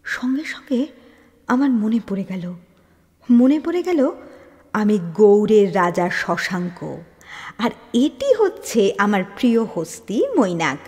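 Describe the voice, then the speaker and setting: A woman narrating a literary story in a dramatic, expressive manner, with deliberate pauses and emphasis. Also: medium pitch. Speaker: storyteller reading an audio story. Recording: long hall-like reverb on the voice.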